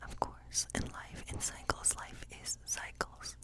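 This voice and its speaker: A woman performing an ASMR role-play as a friendly woodland witch, reading tarot in a breathy, unhurried whisper with a musing, reassuring manner.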